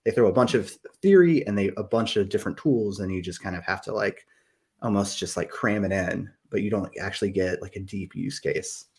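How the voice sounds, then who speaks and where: Low-voiced podcast host, rambling. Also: male voice, fairly quick. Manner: enthusiastic, rambling, many fillers. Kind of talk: casual live-stream podcast chat.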